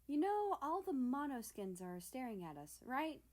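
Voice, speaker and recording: A woman voicing a playful, cocky young female character in a story, pouty and teasing in an animated way. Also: high-pitched, normal speed, pitched above the performer's own voice. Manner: giggly, squealing, teasing.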